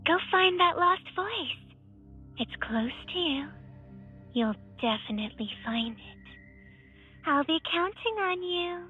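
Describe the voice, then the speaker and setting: An actress playing an eerie female game character who speaks slowly and deliberately, slipping toward whispers, in muffled game audio. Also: very high voice, lively intonation, soft background music, exaggerated voice. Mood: coaxing.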